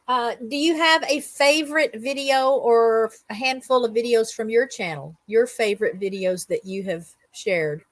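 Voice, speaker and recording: A woman serves as interviewer in a casual livestream Q&A. Her voice sits a little high. It is recorded dry, with hardly any room around it. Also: normal speed, hardly any pauses, no room sound. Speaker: interviewer reading out questions.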